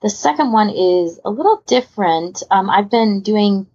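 Woman, husky, casual recommendations, rambling asides, slightly dull lo-fi sound. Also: medium pitch, animated, moderate pace, a little mellow. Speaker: podcast co-host. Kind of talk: casual podcast chat, recommending audio stories. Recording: muffled, lo-fi voice recording.

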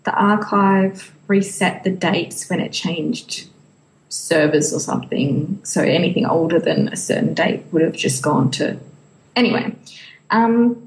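A woman's voice: lowish voice, a little slow. A woman co-hosting a podcast in casual fan discussion. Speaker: podcast co-host. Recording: roomy echo, faint background noise.

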